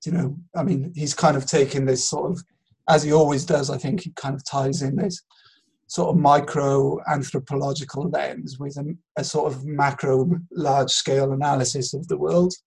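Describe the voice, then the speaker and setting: A man with a fairly high voice that is husky and full of fry. He talks casually about ideas, feeling his way through explanations with lots of hedging and loose turns. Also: little pitch movement, moderate pace, a little mellow, low-quality recording. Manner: hesitant, rambling explanation with hedging. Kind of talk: casual intellectual discussion.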